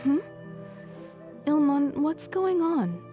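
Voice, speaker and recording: An actress playing a gentle, polite, soft-hearted wife and mother in a video game. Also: high voice, very animated, slow pace, music in the background, heavily acted. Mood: worried.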